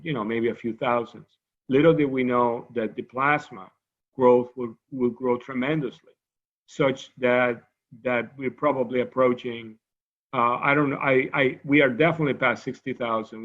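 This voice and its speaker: A man's voice: mid-range voice, phone-quality sound. A man from Cuba with a Cuban Spanish accent, giving a scientific lecture in a conversational way, often restarting his sentences and adding asides. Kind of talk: academic lecture.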